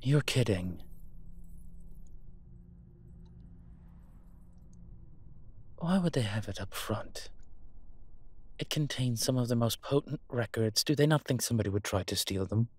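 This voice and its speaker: A man playing a suave incubus demon professor in a roleplay audio drama, measured, wry and teasing. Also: medium pitch, expressive, a little mellow, husky. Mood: focused, instructive.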